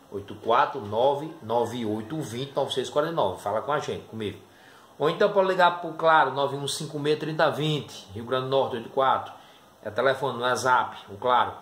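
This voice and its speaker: A man's voice: medium pitch, fairly bright tone. A middle-aged man talking informally to camera, presenting and selling a product. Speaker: herbal tea seller presenting testimonials. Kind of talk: informal sales talk to camera. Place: small dim room indoors. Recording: roomy echo, faint background noise.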